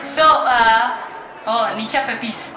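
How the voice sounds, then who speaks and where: A woman's voice: fairly high pitch, takes it slowly, reverberant, heavy background noise. A Kenyan woman comedian joking with a live crowd and plugging her comedy night, switching between Swahili and English. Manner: joking, self-mocking, mixing Swahili and English. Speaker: comedian and poet. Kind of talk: stand-up banter and event promotion. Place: large hall with live audience.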